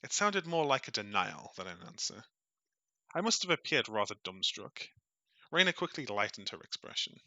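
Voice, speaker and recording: A man reading a visual novel aloud as a streamer, in expressive story narration. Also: on the low side, moderate pace, dry recording, dull audio. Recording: music behind the voice.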